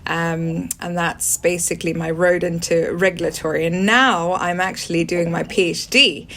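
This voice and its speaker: A woman's voice: fairly low pitch, steady tone, normal speed, a bit bright. Swedish woman with a British accent, hesitant. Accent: British English. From Sweden. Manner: hesitant, many ums and restarts. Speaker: guest. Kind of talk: conversational interview answers.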